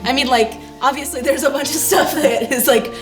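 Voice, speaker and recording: A woman's voice: high-pitched, a little fast. A young woman speaking in a casual, conversational way in a discussion. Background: music.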